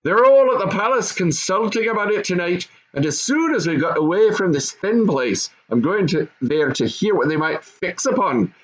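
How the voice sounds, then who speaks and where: A man's voice: high voice, expressive, normal speed, few pauses, pitched above the performer's own voice, poor audio. A man voicing a gloating, bossy goblin father and husband, emphatic and knowing, in a storybook reading. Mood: commanding.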